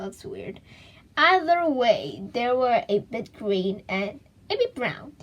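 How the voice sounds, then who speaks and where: A woman reading a children's book aloud in an expressive, dramatic way, with chatty asides to her young listeners. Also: sits high, rough recording.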